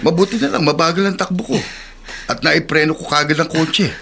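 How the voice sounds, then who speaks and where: A man's voice: a little high, brisk, vocal fry, a bit bright, slight background noise. A man with a Filipino accent playing a blunt, agitated, scolding man in a radio drama. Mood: flustered, scolding. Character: pushy, scolding man, creditor and flustered driver.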